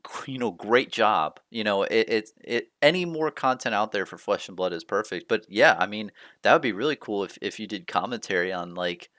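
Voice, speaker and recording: A man's voice: lowish voice, expressive, a little fast, hardly any pauses, a bit bright, no room sound, studio quality. A man hosting a casual chat, rambling with lots of fillers and restarts, upbeat and encouraging. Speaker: host.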